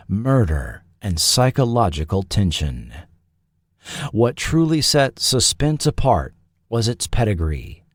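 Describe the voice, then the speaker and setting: Measured, expressive male documentary narrator. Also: medium pitch, no room sound, studio quality. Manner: measured, dramatic, storytelling. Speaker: podcast narrator.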